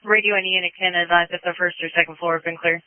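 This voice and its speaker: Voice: medium pitch, level tone, very fast, smooth, no room sound. A police radio dispatcher relaying messages in a clipped, procedural way, repeating them back over a radio channel. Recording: narrowband police radio transmission.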